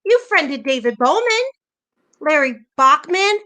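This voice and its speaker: Bright, very high-pitched exasperated wife played by an actress. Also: female voice, expressive, measured pace, exaggerated voice. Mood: incredulous, accusing. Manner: incredulous, needling. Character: exasperated, teasing long-married wife. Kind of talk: amateur staged reading of a domestic comedy.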